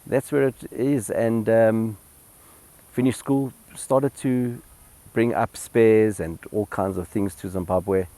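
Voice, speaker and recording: A middle-aged man recalls his family's past in a deep, low voice. He rambles a little, restarting phrases and pausing on ums. The sound is close and dry, recorded at home. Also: mellow, no echo. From South Africa. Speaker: interviewee. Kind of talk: relaxed personal reminiscence.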